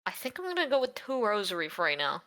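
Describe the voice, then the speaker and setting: A woman around thirty chats as a player in a tabletop game session. Her voice is bright and sits at an average pitch, and her delivery is casual and playful, with a giggly way of talking. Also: very animated, fast talker. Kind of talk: casual chat while making a game character.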